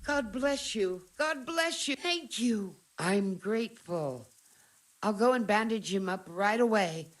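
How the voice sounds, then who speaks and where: An earthy medieval peasant wife from a video game. She speaks emphatically, with bitterness and gratitude close together, as someone worn by hardship. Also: sits low. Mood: grateful, worried.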